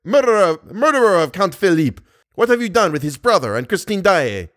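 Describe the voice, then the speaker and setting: A man playing a stern man who forcefully accuses and questions a murderer, his voice raised above the narration. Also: on the high side, sing-song, normal speed, dry recording, studio quality, pitched above the performer's own voice. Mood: accusing.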